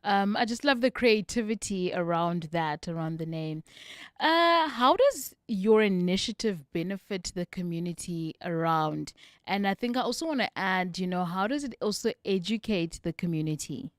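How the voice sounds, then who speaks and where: A woman's voice: lowish voice, animated, normal speed, no room sound, studio quality. A woman hosting a radio talk show, upbeat and chatty with her guest and listeners. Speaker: radio host. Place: radio studio.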